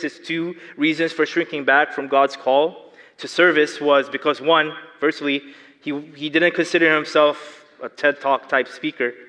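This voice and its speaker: A man's voice: fairly high pitch, fairly bright tone. Filipino-accented man preaching in a hall. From Philippines. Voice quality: slight lisp. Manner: earnest, asks the congregation questions. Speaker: lay preacher. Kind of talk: sermon. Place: large church hall. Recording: long hall reverb.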